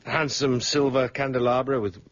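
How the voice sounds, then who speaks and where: An actor playing a confident, suave defence barrister in a radio drama, with a slightly husky voice and a courteous, assured manner. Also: male voice, mid-range voice, steady tone, normal speed, muffled recording, lightly acted. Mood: skeptical, amused.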